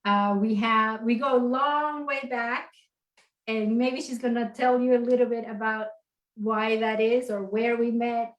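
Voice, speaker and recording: A woman's voice: on the high side, fairly even intonation, a little slow, roomy sound, poor audio. A middle-aged woman hosting a casual live-stream interview, affirming and encouraging her guest as she talks. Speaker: host. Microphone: video call.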